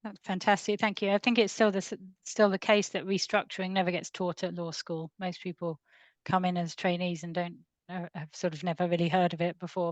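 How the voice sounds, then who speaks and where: A woman moderating a panel discussion, polite and measured as she hands questions to the speakers. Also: on the low side, no echo.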